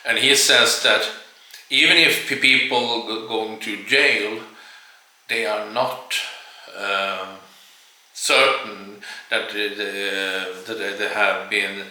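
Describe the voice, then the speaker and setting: A man's voice: fairly low pitch, fairly even intonation, takes it slowly, pushed and bright. A man from Sweden with a Swedish accent, speaking English as a second language. He gives earnest personal commentary and keeps stopping to search for words. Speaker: vlogger. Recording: very echoey room.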